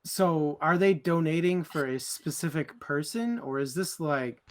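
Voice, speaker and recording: A man's voice: fairly high pitch, a little slow. A man co-hosting a casual livestream book chat, talking conversationally and posing questions. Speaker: book discussion co-host.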